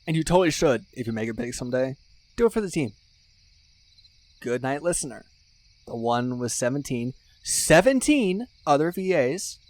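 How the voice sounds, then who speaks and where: Casting: male actor for excitable male best friend and sentimental superfan, mid-pitched, dramatic, gushing, chatty one-sided audio roleplay. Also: moderate pace, lightly acted. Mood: mock outrage. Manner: effusive, dramatic, gushing with mock-serious exaggeration. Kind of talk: ASMR roleplay acting, casual one-sided chat.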